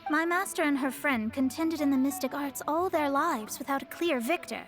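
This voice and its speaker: A woman's voice: sits high, moderate pace, over music, natural voice. A woman voicing a proud, haughty young female astrologer in a video game, formal and self-assured. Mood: explaining. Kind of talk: game voice acting.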